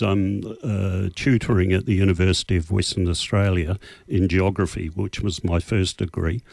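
A man in his seventies from New Zealand with a New Zealand accent, a radio guest answering questions slowly with many pauses. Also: low voice, gravelly, darkish tone, no echo. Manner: unhurried, hesitant with many uh fillers. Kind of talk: casual interview answers. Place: radio studio.

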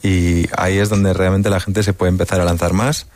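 Low-voiced man teacher on a panel. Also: flat delivery, moderate pace, a little mellow. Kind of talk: panel discussion.

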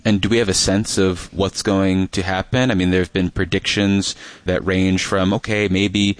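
A man hosting a public radio interview, asking measured questions and explaining terms for listeners. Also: low-pitched, level tone, no room sound. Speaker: radio host.

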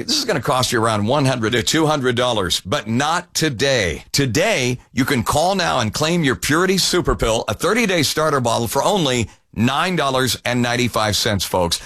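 A man hosting a radio infomercial, pitching to listeners in an upbeat, salesmanlike way. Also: a bit bright. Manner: salesmanlike, addresses listeners as folks.

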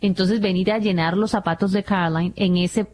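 A woman's voice: mid-range voice, steady tone, moderate pace, darkish tone. A woman chatting informally and frankly as a radio panelist, dropping bits of English into her talk. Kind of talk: casual radio chat.

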